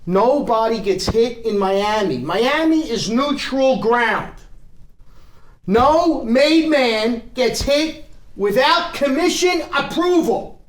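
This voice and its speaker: Man acting as a slow, menacing mob boss. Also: extremely high pitch, expressive, heavily acted. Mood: angry lecturing. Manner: deliberate, profane, lecturing. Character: menacing, domineering male gangland boss, cold and explosive. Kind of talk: live table read of a TV script.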